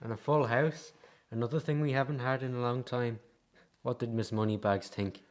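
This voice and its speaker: A man's voice: mid-range voice, fairly even intonation, moderate pace, close to an everyday voice. Eager, easygoing circus performer in radio drama male actor, mid-range voice. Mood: pleased, casual.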